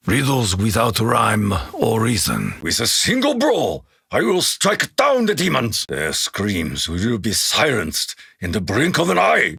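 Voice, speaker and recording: An actor voicing a solemn, proud Japanese samurai warrior for a video game, with a raspy voice, a Japanese accent and formal, grave phrasing. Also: male voice, mid-range voice, sharp tone, no echo, clean studio sound, heavily acted. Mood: solemn, grateful, then fierce, proud. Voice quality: raspy, gruff. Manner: formal, grave, proverb-like phrasing with dramatic emphasis. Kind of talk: video game character voice lines.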